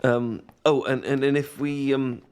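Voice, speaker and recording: A man's voice: mid-range voice, level tone, a little slow, a little mellow, clean tone, close to an everyday voice. A young British man playing a shy, self-deprecating student in an audio roleplay, hesitant and stammering as he talks to his best mate. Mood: wry, rambling. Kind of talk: ASMR boyfriend-style audio roleplay, casual monologue.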